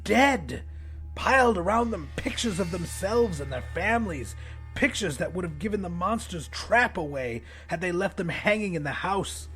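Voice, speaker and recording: A male audiobook narrator with a high-pitched voice tells a horror story in the first person. His delivery is dramatic and intense, with faint music behind it. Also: expressive, normal speed, fairly bright tone.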